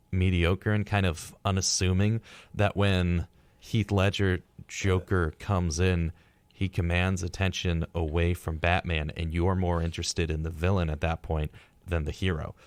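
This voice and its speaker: A man's voice: low voice, no room sound. A man co-hosting a casual pop-culture discussion, speaking as an earnest, opinionated fan. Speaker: podcast co-host.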